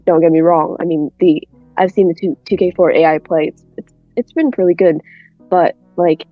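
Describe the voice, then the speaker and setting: A woman's voice: lowish voice, fairly even intonation, moderate pace, dry recording, faint music behind. A woman with a mid-range voice talks casually and a bit hesitantly as a panel guest. The recording is dull and dry, like a lo-fi voice chat. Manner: hesitant, filled with "like".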